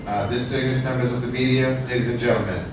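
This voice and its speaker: A man giving a welcome speech at a ceremony, modest and a bit halting as he greets the guests. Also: medium pitch, fairly even intonation. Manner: modest, halting, lists dignitaries with fillers. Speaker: foundation head addressing guests. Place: large echoey ceremony room. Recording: echoey room recorded from far off, noisy.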